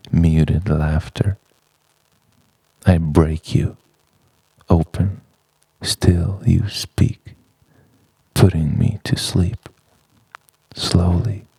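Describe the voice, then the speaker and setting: Slow, expressive man reciting spoken-word poetry. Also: sits low, creaky, husky, no echo. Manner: dramatic, deliberate phrasing with pauses. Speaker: poet.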